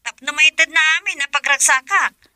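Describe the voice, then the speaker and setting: A weary young wife, bright high voice. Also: female voice, normal speed, no room sound. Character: unhappy young wife, tired and resigned. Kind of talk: radio drama acting.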